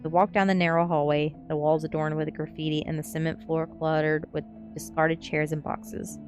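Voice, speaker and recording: Female story reader for an ASMR stream, low register, faint music underneath. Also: flat delivery, normal speed, a little mellow. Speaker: streamer reading a novel aloud.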